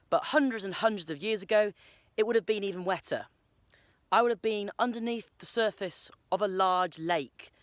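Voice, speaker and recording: A man's voice: high voice, a bit bright, no room sound. A man telling stories as a travel vlogger and presenter, with a theatrical delivery, recorded on location. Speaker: storyteller and presenter. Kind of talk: storytelling travel vlog, part narration, part talk on location. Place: outdoors in the countryside.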